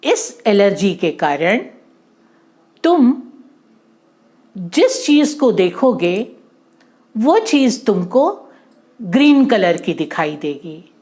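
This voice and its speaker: A woman's voice: mid-range voice, very animated, very slow, pauses often, a little mellow. A woman voicing a grave male eye doctor in a children's story, giving alarming verdicts and emphatic instructions.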